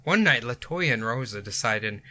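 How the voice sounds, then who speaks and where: Man host, bright lively voice, laughs into a spooky story. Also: mid-range voice, moderate pace. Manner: laughs often, reads notes with jokey asides. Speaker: podcast host. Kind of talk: casual paranormal storytelling.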